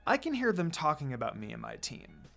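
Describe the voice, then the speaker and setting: A man's voice: fairly high pitch, huge pitch swings, brisk, gravelly, a bit bright, exaggerated voice. A man voicing a hot-tempered teenage ninja girl in an emphatic, exasperated way for a fan fiction reading. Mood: wary. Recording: music behind the voice.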